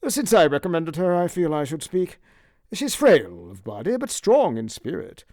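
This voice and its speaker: A self-assured, smooth-talking gentleman, acted by a male narrator, speaks formally and placatingly with a very animated melody. There is creak in the voice, and the audiobook recording is dry and close. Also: on the high side, normal speed, no echo, pitched above the performer's own voice. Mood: earnest. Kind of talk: audiobook character acting.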